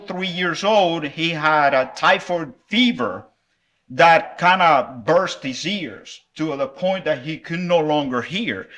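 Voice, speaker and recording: Preacher, middle-aged man from El Salvador, friendly chatty revival sermon, voice a little high and very bright. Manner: friendly, conversational, with small laughs and fillers. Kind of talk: revival sermon with children's object lesson.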